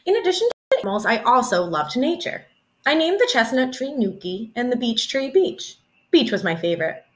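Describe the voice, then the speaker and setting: A young woman reading a children's picture book aloud in an expressive, deliberate teacherly storytelling manner. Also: fairly high pitch. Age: young adult. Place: small room at home, indoors.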